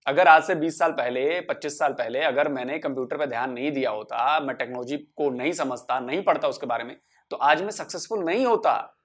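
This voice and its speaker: A man talking earnestly to the camera, measured and persuasive, building his points through repetition. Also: mid-range voice.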